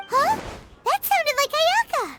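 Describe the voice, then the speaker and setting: A woman voicing a tiny, excitable fairy companion with a childlike, exclamatory manner in a video game. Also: extremely high pitch, full character voice. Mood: startled. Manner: refers to self in third person, exclamatory. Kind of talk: cartoonish video game voice acting.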